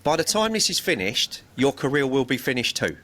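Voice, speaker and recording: A man's voice: mid-range voice, sing-song, a little fast, pushed and bright. A man co-hosting a podcast, bantering casually with a blunt, rambling way of talking and the odd swear word. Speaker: podcast co-host.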